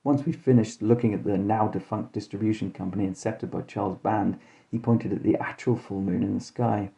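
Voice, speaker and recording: A man with a very dark, mellow and fairly low voice narrates a spoof detective horror story. He reads as a storyteller, deadpan yet mock-dramatic. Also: fairly quick, creaky. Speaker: podcast host and storyteller. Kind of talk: scripted comic horror narration in mock-detective first person.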